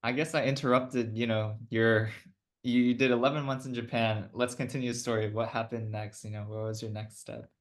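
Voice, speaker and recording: An interviewer asking relaxed follow-up questions, often padding sentences with "like" and "I guess". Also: medium pitch, brisk. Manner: informal, many "like" and "I guess" fillers. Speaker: oral history interviewer.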